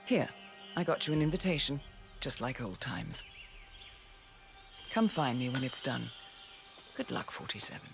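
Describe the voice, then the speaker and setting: A scheming handler, measured and controlled, lively pitch, game cutscene with clear music underneath. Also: female voice, exaggerated voice. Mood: confiding. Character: composed, scheming female handler and ally. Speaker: video game character. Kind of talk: cinematic game dialogue. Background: game soundtrack music.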